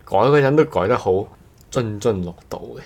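A man with a Cantonese accent co-hosting a podcast in casual chat, speaking in halting, rephrased fragments. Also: medium pitch, expressive, creaky, breathy edge. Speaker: podcast co-host.

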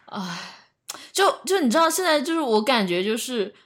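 A young adult woman chatting casually on a podcast in a halting, musing manner. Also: high-pitched, sing-song, a bit bright. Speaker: podcast speaker.

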